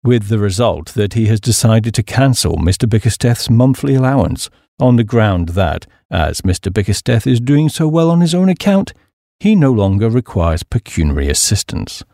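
Man playing low, unflappable English valet. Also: vocal fry, dry recording, studio quality. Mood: deferential, composed. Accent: British. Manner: measured, formal, understated, deadpan. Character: unflappable, deferential English gentleman's valet, dry and formal.

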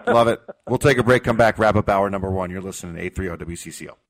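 A man with a fairly deep American voice hosts a sports talk show. He speaks casually and informally, with open enthusiasm for what he is discussing. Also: racing. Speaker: sports radio host.

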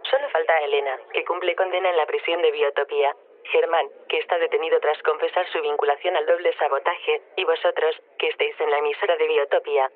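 Audio drama role: male actor as literal, formal, courteous AI, very quick delivery, narrow telephone sound. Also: on the high side, steady tone, natural voice. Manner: stiffly formal, over-literal phrasing. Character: literal-minded, polite artificial intelligence in a silicon body. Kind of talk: radio drama. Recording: tinny, narrowband phone line.

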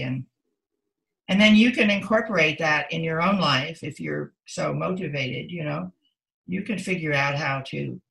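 Older female interview guest, thoughtful and conversational, crisp bright edge with vocal fry. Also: low-pitched, rough recording. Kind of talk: reflective conversational interview answers.

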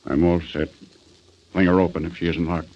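An actor playing a dutiful, plain-spoken police subordinate with a deferential manner in a 1940s radio drama. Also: male voice, low voice, level tone, moderate pace. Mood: watchful. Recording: muffled, very noisy 1940s radio recording.

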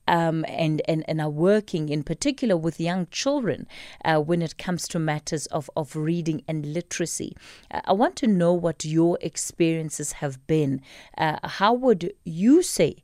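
A South African woman hosting a live radio talk show, speaking in a measured, emphatic way and repeating phrases for weight. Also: sits low, warm tone, no room sound, studio quality.

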